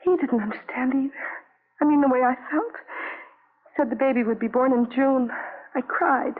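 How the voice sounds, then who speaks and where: An actress playing an anguished, lonely young wife in a 1950s radio drama, speaking in halting, repetitive fragments on a vintage broadcast recording. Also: female voice, high voice, phone-quality sound. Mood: weary, confessing.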